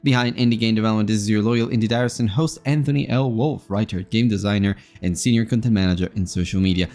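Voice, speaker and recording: A man's voice: lowish voice, quick pace, darkish tone. A man hosting an interview podcast in a polite, conversational way, often wordy and hesitant as he talks.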